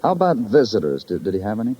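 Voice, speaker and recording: Low-voiced, world-weary police detective. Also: male voice, huge pitch swings, racing. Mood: probing, subdued. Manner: poetic, clipped, brooding delivery. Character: moody, world-weary male New York police detective narrating. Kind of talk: 1950s hard-boiled radio drama narration. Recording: dull old radio recording, loud music. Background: music.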